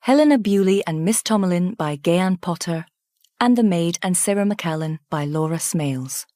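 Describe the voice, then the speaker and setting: A woman's voice: lowish voice, expressive, moderate pace, dark tone, dry recording, studio quality. A woman reading a scripted closing announcement for a radio drama.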